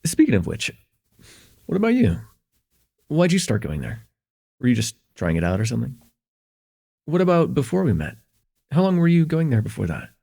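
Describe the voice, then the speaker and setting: Man acting as a gravelly, easygoing young guy chatting. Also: mid-range voice, huge pitch swings, normal speed, pauses often, mellow, heavily acted. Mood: warm, chatty. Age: young adult. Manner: hesitant, rambling, chuckling, easygoing. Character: friendly, forgetful young man hosting a close friend at home. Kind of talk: slice-of-life ASMR roleplay, casual intimate chat to listener.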